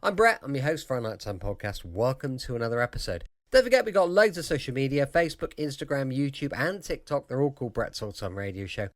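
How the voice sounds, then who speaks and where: A man's voice: medium pitch, sing-song, quick pace, hardly any pauses. A British man giving a chatty, informal introduction as a podcast host. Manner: chatty, informal, swinging delivery.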